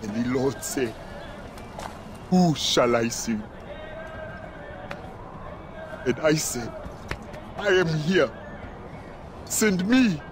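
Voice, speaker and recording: A zealous game preacher. His voice rides high and swings dramatically, with slow, weighty phrasing, as he declaims like a sermon. Clear outdoor noise sits behind him. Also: animated. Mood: brooding, remorseful. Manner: fervent, grandiose, quoting scripture like a sermon. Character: zealous preacher, former arms dealer haunted by sin. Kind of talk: theatrical video game cutscene preaching.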